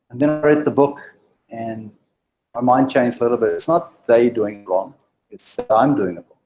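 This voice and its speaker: A man's voice: medium pitch, darkish tone, rough recording. A man giving a direct leadership talk to a live group, stuttering, with many ums and restarts. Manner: halting, with frequent ums and restarts; direct, exhorting. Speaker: meetup speaker on leadership. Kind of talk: informal presentation to a live group.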